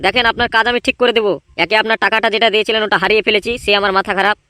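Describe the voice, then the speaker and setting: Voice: mid-range voice, fairly even intonation, rapid-fire, pushed and bright, dry recording, studio quality, lightly acted. A performer voicing an irritable, blustering and easily flustered male gang boss in a comedy cartoon. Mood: defensive. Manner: blustering, complaining.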